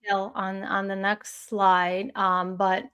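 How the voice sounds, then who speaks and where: Woman, mid pitch, live show host, chatty, short prompting questions, video call. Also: little pitch movement, takes it slowly, a bit bright, clear, resonant tone. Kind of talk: live true crime discussion.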